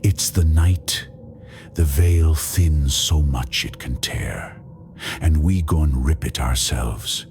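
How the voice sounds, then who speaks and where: A man's voice: rumbling low, slow, music in the background, full character voice. A man voicing a grave, resolute conjure woman in a horror audiobook, murmuring ominously.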